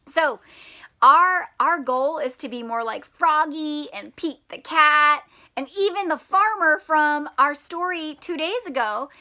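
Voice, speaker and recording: Slow-paced, high-voiced woman teacher. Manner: animated, encouraging, questioning. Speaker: teacher reading aloud to children. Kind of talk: children's read-aloud with questions.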